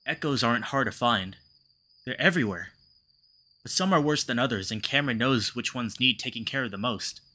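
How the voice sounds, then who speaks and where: A man voicing a battered, weary animal hero in measured, dramatic first-person visual novel narration. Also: medium pitch, animated, pushed and bright, a little hiss or hum. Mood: somber, weary. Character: battered, weary, stoic male animal narrator, gently reassuring.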